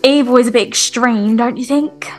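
A woman's voice: a little high, moderate pace, soft background music. A young woman with a British accent, chirpy and exclaiming, doing a game roleplay vlog as the mum. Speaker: video creator playing a mum in a game roleplay.

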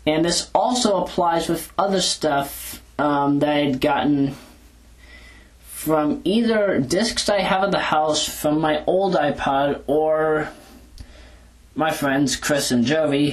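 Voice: medium pitch, takes it slowly. A speaker giving a casual, rambling audio log in an informal, hesitant, self-correcting way, talking into a handheld recorder. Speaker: video blogger. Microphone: handheld audio recorder moved back and forth.

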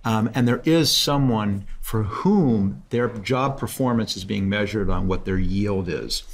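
A man in his sixties gives a guest direct, encouraging advice at a studio desk mic. His voice is low with a gravelly rasp, and he talks like a seasoned mentor. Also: unhurried. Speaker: host, mentor. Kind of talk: advice in casual chat. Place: studio set, indoors.

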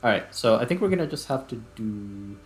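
Man, gaming host, low-pitched, gravelly fry, casual halting think-aloud commentary. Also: expressive. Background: music.

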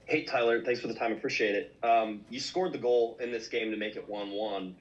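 A man's voice: fairly low pitch, brisk, lo-fi sound. A man, a sports reporter, asking polite, loosely phrased questions with fillers at a press conference.

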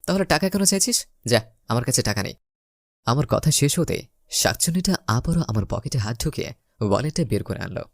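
A man's voice: mid-range voice, lively intonation, a little fast, vocal fry, pauses often, no room sound, clean studio sound. A young man narrating a romantic comedy story in the first person, theatrically acting out the lines. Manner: theatrical, acts out his lines.

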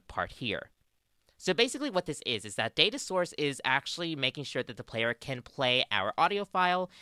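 A woman's voice: rumbling low, sharp tone, clean tone, dry recording. A young adult woman with an Australian accent presenting a scripted tutorial into a close studio mic. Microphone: close studio mic on boom arm.

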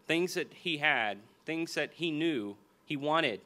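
A man in his forties with an American accent preaching a sermon and reading scripture at a lectern, slowing down and repeating key phrases for emphasis. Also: fairly high pitch, lively intonation, pushed and bright. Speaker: pastor. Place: church hall stage at a lectern. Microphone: small stand mic on the lectern.

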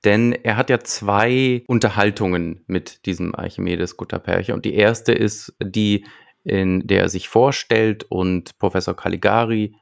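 A man's voice: fairly low pitch, fairly even intonation, unhurried, gravelly. A man in his thirties retelling a story conversationally as a podcast co-host, close to the microphone. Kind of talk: conversational recap of a radio play. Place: small room at home. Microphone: close desktop microphone.

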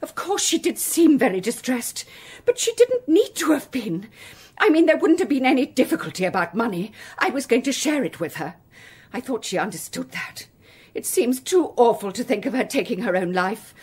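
A woman playing a fluttery, anxious, tender-hearted spinster with a husky, breathy voice in a radio play. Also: a little high, sing-song, moderate pace, darkish tone, exaggerated voice. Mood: upset, fussy. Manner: fussy, emotional, flustered. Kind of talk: radio drama acting.

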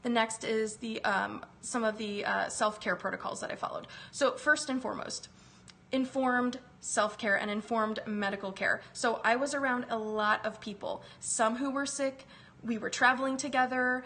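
A woman's voice: a little high, fairly bright tone. A woman in her thirties chatting on a live stream from her home kitchen, recovering from a chest virus and greeting viewers by name. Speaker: wellness live-stream host. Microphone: phone camera close up.

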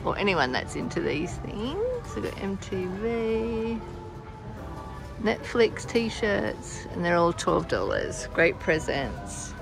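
A woman's voice: mid-range voice, wildly swooping pitch, slow pace, creaky, over music, low-quality recording. An Australian woman chatting casually as she films a shopping vlog in a large store, often laughing. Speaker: vlogger. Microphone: handheld phone camera.

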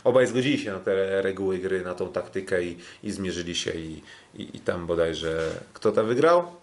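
A man hosts a sports podcast. He speaks casually and haltingly, often doubling back on words as he recaps. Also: deep voice, lively intonation, slight background noise. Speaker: podcast host.

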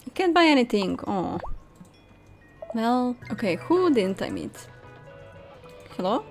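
A woman's voice: fairly high pitch, very animated, slow pace. A young woman playing a game and commenting casually, thinking aloud as she goes. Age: young adult. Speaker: gamer, host. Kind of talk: casual let's play commentary. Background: soft game music.

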